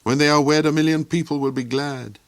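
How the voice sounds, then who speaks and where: A man's voice: a little high, steady tone, brisk, no echo, voice pushed up, lightly acted. Man playing earnest young minstrel, dry recording.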